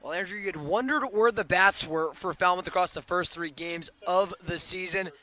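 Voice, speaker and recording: A man's voice: sits high, moderate pace, sharp tone. An American man giving conversational color commentary during a live baseball broadcast.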